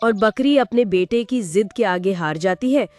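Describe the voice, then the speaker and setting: A woman narrating a moral fable for a Hindi cartoon in a plain, steady storytelling way. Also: medium pitch, normal speed, faint music behind.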